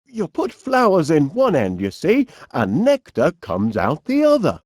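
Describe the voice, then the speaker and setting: A man's voice: fairly high pitch, wildly swooping pitch, unhurried, husky, no room sound, studio quality, heavily acted. A man playing a cheerful middle-aged eccentric inventor in a cartoon video game, with a Northern English accent and a quaintly polite, dithering manner. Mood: proud, chirpy.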